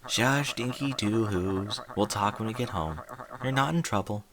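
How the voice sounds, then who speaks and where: A man voicing a gentle pegasus mother who soothes her young foal in soft tones. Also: lowish voice, normal speed, a crowd in the background, natural voice. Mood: soothing.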